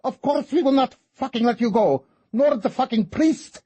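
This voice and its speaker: A man's voice: high-pitched, normal speed, vocal fry, darkish tone, breathy edge, pitched above the performer's own voice, heavily acted. A male narrator voicing a vicious, sneering male cult leader in an audiobook, full of spitting rage. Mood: raging.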